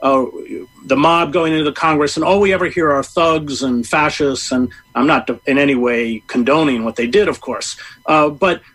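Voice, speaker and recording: A middle-aged man giving long, measured interview answers, full of hesitations and fillers. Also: fairly high pitch, a bit bright, low-quality recording. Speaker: interview guest, journalist. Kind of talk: interview answer, political commentary.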